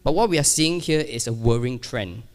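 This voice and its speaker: A man's voice: mid-range voice, normal speed. Man giving a casual conference talk. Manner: casual, self-deprecating, many fillers and restarts. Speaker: conference speaker. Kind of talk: keynote talk on cybersecurity. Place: conference hall.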